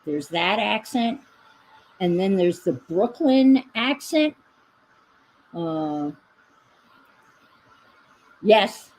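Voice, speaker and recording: A woman's voice: medium pitch, lively intonation, extremely slow, long pauses, darkish tone, low-quality recording. A middle-aged woman with a Long Island New York accent, chatting in a rambling way to herself and her viewers while she paints. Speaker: craft vlogger. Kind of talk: casual chat while painting.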